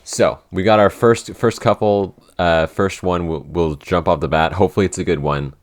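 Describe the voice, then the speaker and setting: A man with an extremely low voice hosts a casual podcast question-and-answer session. He talks hesitantly, stopping and restarting his sentences, with plenty of fillers along the way. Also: moderate pace. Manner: hesitant, many restarts and fillers, laughs easily. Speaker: podcast head writer and editor, co-host.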